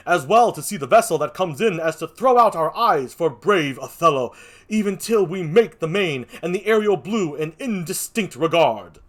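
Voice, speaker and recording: A man's voice: fairly high pitch, lively intonation, moderate pace. A man acting a grave, loyal governor in a theatrical read-aloud of a Shakespeare play, declaiming his lines. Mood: earnest, rousing.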